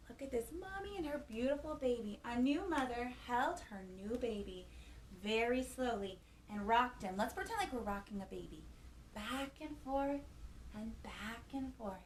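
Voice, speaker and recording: A woman hosting storytime for young children, reading a picture book aloud in an expressive, gasping manner and putting questions to her little listeners. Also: fairly high pitch, measured pace. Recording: echoey room with faint background noise.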